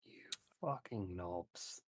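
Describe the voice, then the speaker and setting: A man's voice: sits low, animated, takes it slowly, slightly rough, dry recording. A man playing a tabletop game, talking in casual banter that slips in and out of character.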